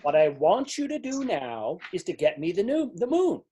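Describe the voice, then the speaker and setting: A man acts a petulant storybook king in a highish voice that rises and falls freely. The manner is insistent and complaining, that of a ruler who is easily vexed. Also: big pitch swings, moderate pace, warm tone, slight background noise. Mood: demanding. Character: petulant, demanding king, fretful and easily enraged. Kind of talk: readers theater storybook reading.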